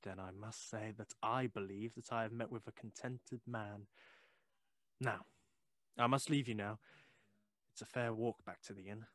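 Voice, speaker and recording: A man playing a curious, courteous Victorian gentleman in an audio play, speaking in a polite, formal, inquisitive way. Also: lowish voice, steady tone, moderate pace, pauses often, no room sound, close to an everyday voice.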